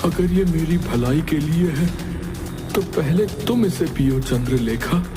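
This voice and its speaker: A man's voice: on the high side, expressive, slow pace. A man playing a trusting, emotional king in a storybook drama, stately and formal in delivery. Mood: suspicious. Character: lovestruck, trusting king, grave and wounded. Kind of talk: dramatized folk-tale audio. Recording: loud music under the voice.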